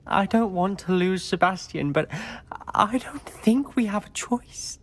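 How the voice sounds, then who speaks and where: A man's voice: high-pitched, lively intonation, slow, full character voice. A man voicing a principled, troubled teenage wizard with a British accent in a video game cutscene. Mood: distressed.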